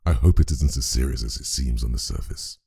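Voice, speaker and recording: Gentle parish priest, extremely low voice, husky, earnest, audio drama. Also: male voice, brisk, heavily acted. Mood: weary, concerned. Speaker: voice actor.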